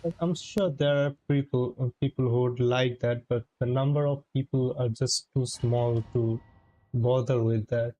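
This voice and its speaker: A man's voice: mid-range voice, fairly even intonation, normal speed, dark tone, dry recording, poor audio. A man giving casual, musing commentary on a video game live stream in a laid-back way. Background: faint game music.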